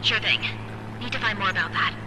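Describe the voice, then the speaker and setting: A woman's voice: medium pitch, a little fast, very noisy, close to an everyday voice. A woman playing an alert young mission operator in a video game cinematic. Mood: focused, wry. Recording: loud music over the voice.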